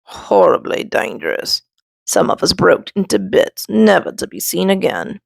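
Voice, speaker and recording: A woman performs a weary, rugged rock from a children's storybook. It speaks curtly and slowly, gruff and husky, with no patience for excitement. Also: lowish voice, no room sound, full character voice. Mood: dour, curt. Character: gruff, terse, weary wild outdoor rocks. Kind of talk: children's storybook read-aloud.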